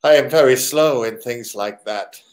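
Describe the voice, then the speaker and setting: A man playing a courteous but menacing foreign gentleman crook in a 1940s-style radio drama, with formal, deliberate phrasing. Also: medium pitch, natural voice. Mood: guarded, polite.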